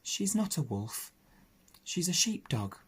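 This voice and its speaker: A gentle young pig from a storybook speaks with earnest, careful courtesy, as a man reading aloud puts him on. The voice is lifted and bright above a normal speaking voice. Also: sits high, very animated, moderate pace, pitched above the performer's own voice, exaggerated voice. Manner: polite and well-spoken.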